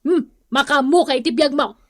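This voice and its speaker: A woman's voice: sits high, normal speed, natural voice. A woman acting a long-suffering mother and wife in a comedy radio drama, scolding and pleading with a Filipino accent.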